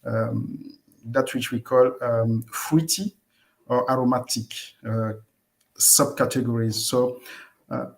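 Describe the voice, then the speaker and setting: Male guest, mid pitch, halting explainer full of uhs and restarts. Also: fairly even intonation, vocal fry, poor audio. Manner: halting, many uhs and self-corrections. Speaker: guest researcher. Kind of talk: explaining in an interview.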